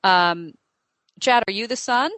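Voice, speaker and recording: A woman's voice: medium pitch, fairly bright tone, no room sound. Chatty woman medium in a dry room. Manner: chatty, thinking aloud with many fillers; asks many quick questions in a row. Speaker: psychic medium. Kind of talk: live reading session with a client.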